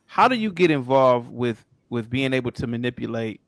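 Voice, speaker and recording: A man's voice: medium pitch, very animated, normal speed, fairly bright tone, dry recording. A man in a casual live debate who interjects and repeats his phrases.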